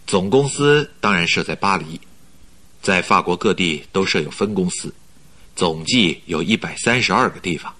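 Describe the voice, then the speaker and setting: An actor in a Mandarin radio drama playing a polite, flattering company recruiter who talks in a measured, persuasive way. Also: male voice, low-pitched, full character voice. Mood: persuasive, explaining. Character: smooth-talking male company recruiter, flattering, persuasive schemer.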